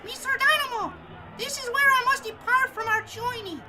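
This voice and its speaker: Tiny high-pitched solemn boy guide. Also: normal speed. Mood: solemn, earnest. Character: small crippled boy guide Pepe, solemn and polite. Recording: loud music behind the voice.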